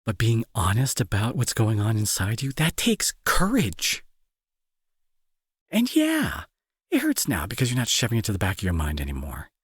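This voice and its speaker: A remorseful young bully turned anxious caretaker, played by a man, with a low and very husky voice. He speaks softly and hesitantly, earnest and reassuring, his pitch moving expressively. It has the close, intimate feel of a roleplay audio drama. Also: dry recording, exaggerated voice.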